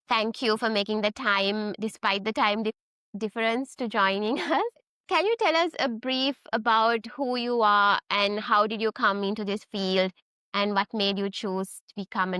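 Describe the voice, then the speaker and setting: A woman's voice: fairly high pitch, normal speed, bright, forceful tone, smooth, no room sound, clean studio sound. A woman co-hosting an interview, asking a guest long, friendly, rambling questions. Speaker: co-host.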